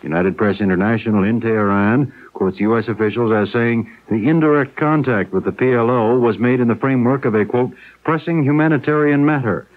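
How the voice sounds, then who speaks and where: A man's voice: mid-range voice, brisk, few pauses. A man anchoring a radio newscast, reading scripted news. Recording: thin, tinny radio broadcast.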